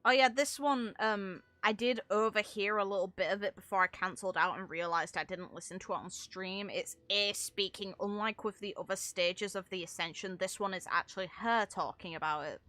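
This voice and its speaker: Bright-voiced woman streamer, very dry sound. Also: mid-range voice, normal speed, few pauses, no echo. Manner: animated, informal, sweary. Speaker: game streamer. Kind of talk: casual, unscripted gameplay reaction commentary.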